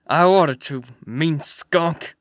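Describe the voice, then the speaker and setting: A man's voice: on the high side, slow, no room sound, studio quality. A man voicing an angry, violent male robber who threatens a bound captive. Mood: menacing.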